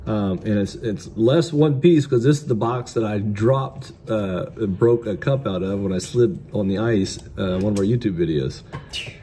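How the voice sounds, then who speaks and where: A man's voice: fairly low pitch, moderate pace, mellow. A middle-aged American man hosting as an auctioneer, giving casual commentary on the lots as he sells them. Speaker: auctioneer and vlog host. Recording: clear background noise.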